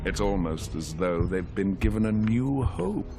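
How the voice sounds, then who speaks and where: An actor playing an imperious, gloating conqueror villain in a video game, pompous and mock-fond, with loud music behind. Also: male voice, on the low side, animated, measured pace. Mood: suspicious.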